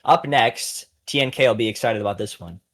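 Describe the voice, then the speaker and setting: A man's voice: lowish voice, a little fast, fairly bright tone. Casual, enthusiastic man hosting a podcast. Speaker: podcast host.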